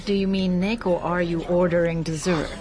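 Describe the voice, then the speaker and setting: A woman's voice: on the low side, fairly even intonation, moderate pace, darkish tone, natural voice. A woman playing a breezy, encouraging showbiz confidante in a radio drama, with a gushing, playful manner.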